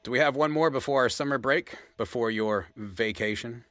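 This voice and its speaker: A man's voice: mid-range voice, moderate pace, fairly bright tone, no echo, faint music behind, lightly acted. A man playing a loyal, wry podcast sidekick and friend in audio drama dialogue. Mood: wry, teasing.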